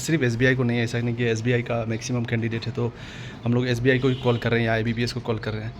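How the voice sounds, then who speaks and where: Indian male online host, casual and repetitive, limited pitch movement, faint noise behind. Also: little pitch movement, fast. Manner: repetitive verbal tags seeking agreement. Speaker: exam-update YouTube host. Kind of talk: casual direct address to viewers.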